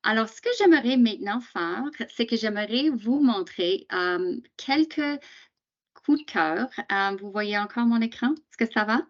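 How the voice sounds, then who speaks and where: A woman's voice: a little high, animated, measured pace, dull audio. A woman whose first language is English, presenting a screen-shared webinar for teachers in a chatty way with many fillers and questions to attendees.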